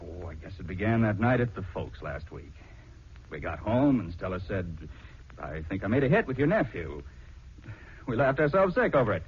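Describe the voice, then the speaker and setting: Blunt, husky middle-aged radio-drama husband. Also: male voice, on the low side, big pitch swings, normal speed, exaggerated voice. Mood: dismissive. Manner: emphatic, blunt. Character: plain-spoken middle-aged husband, forceful, protective of his wife. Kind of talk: 1940s radio acting. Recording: dull 1940s radio recording.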